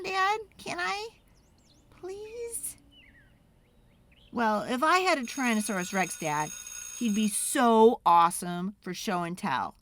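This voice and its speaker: A woman voicing an eager, pleading young child in an excitable, dramatic storybook read-aloud. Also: high voice, a little hiss or hum, exaggerated voice. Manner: wheedling, excitable, dramatic, drawn-out emphasis.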